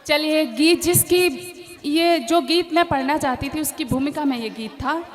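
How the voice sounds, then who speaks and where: A woman poet at a mushaira, singing her verses in a drawn-out lilt into a stage microphone and chatting with the crowd between them. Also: high-pitched. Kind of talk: sung poetry recital. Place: outdoor evening poetry stage. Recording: long reverb, faint music.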